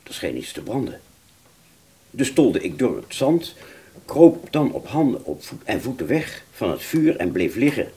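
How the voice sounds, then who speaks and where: A man playing a wounded British fighter pilot, telling his story in a radio play with a husky voice and halting, stammering delivery. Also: mid-range voice, sing-song, mellow, exaggerated voice. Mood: haunted, halting. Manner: halting, stammering, repeats words. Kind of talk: first-person dramatic narration in a radio play.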